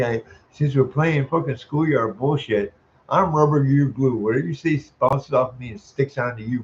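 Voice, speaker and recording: A man ranting in a profane monologue, ending sentences with "man," chuckling, and stalling on drawn-out "uh" sounds. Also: medium pitch, a little mellow, muffled recording, a little hiss or hum. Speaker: vlogger.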